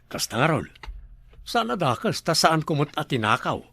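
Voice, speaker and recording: An easygoing young village suitor played by a man who jokes and chats in an even, mid-pitched voice. The recording is close and dry. It suits a homemade comedy drama. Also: normal speed, vocal fry, breathy edge, no room sound, exaggerated voice. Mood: joking.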